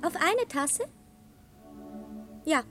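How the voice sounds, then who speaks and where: A woman's voice: high voice, animated, extremely slow, over music, heavily acted. A woman playing an eager, playful young child in a radio drama, telling things in a simple storytelling manner. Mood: polite. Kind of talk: German radio drama acting.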